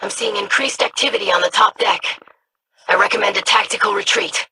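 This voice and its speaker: An actress voicing a curious, polite young alien scholar in a video game, with precise formal diction. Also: female voice, mid-range voice, fairly even intonation, a little fast, noisy background, natural voice. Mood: inquisitive.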